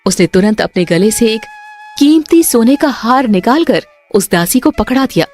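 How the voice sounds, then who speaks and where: A woman's voice: on the high side, lively intonation, moderate pace, darkish tone. A woman narrating a scripted story in a dramatic style. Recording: music under the voice.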